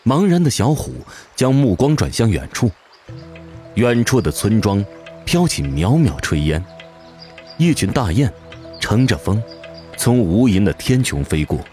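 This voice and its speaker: A man delivering scripted narration as a voice actor, in a dramatic, measured storytelling manner. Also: lowish voice, sing-song, warm tone. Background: music.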